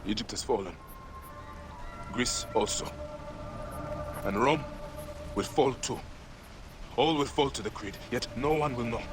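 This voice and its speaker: A man's voice: mid-range voice, a little slow, pauses often, lightly acted. A man playing a grave, grieving warrior father sworn as an assassin, speaking solemnly and intensely in a video game cutscene. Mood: grim resolve. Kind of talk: dramatic game cutscene acting. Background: music.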